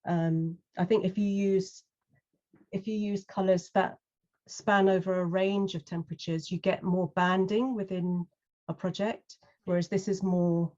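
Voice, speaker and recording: British woman, 50s, lowish voice, chatty with lots of ums, dull lo-fi sound. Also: steady tone, unhurried, mellow. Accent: British English. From UK, London. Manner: chatty, many ums and restarts. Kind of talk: casual interview chat.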